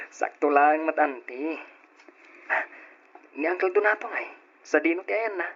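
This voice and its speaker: A dutiful young nephew in an old radio play, gentle and forgiving in how he talks. His husky voice sits a little high for a man. The recording sounds dull and aged. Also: lively intonation, a little slow, full character voice. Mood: concerned. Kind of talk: old radio drama acting.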